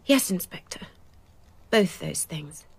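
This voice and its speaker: An actress playing a stern, cultured classics academic in a British radio drama, formal, clipped and disdainful. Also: female voice, fairly low pitch, lively intonation, slow, gravelly, a little mellow, slightly rough, no echo, full character voice. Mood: prickly, controlled.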